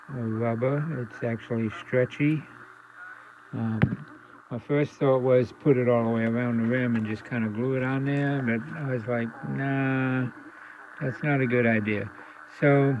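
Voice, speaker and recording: A man giving a casual, halting demonstration as a repair hobbyist, thinking aloud as he goes. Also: mid-range voice. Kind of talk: casual demo narration. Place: indoors, basement. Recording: dull audio with steady background noise.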